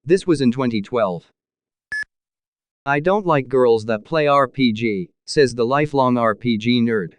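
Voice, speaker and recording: A man's voice: medium pitch, unhurried, slight background noise. A man narrating forum posts aloud in a matter-of-fact reading style.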